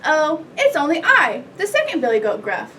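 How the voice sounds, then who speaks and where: A woman plays the middle billy goat of a storybook, a polite, pleading male. His voice is raised high yet fuller than a tiny creature's. It is heard from a little way off. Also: huge pitch swings, moderate pace, sharp tone, exaggerated voice. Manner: not so small voice, pleading. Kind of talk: children's storybook read-aloud. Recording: distant mic, light background noise.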